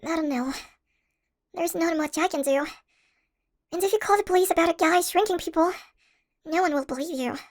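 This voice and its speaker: A woman's voice: extremely high pitch, moderate pace, long pauses. A woman playing a tiny shrunken young college student, playful and affectionate, in a scripted audio roleplay. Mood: playful, teasing.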